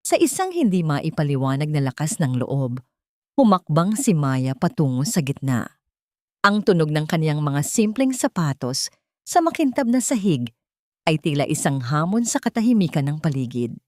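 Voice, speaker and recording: A woman's voice: deep voice, very animated, moderate pace, dark tone, dry recording, studio quality. A woman narrating a dramatic story from a script in a solemn, emotive manner.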